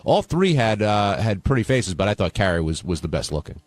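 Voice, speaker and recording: A man's voice: fairly low pitch, sing-song, fairly quick. A man hosting a radio wrap-up show in a casual, chatty way with callers and guests.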